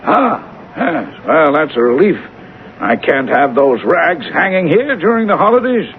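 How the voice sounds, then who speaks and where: A man's voice: a little high, big pitch swings, a little slow. An actor playing a fussy, stingy, pompous male superior in a radio drama, stammering and dithering with disdain. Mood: peevish. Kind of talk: 1970s radio drama acting. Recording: tinny, noisy old radio recording.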